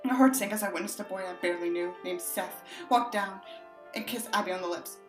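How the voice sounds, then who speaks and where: A woman reads an audiobook in an expressive, sardonic way, sounding exasperated with the text. Her voice sits at an ordinary pitch in a roomy, echoing space, with music underneath. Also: fairly quick. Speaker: audiobook reader and commentator. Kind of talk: expressive book narration with mocking asides.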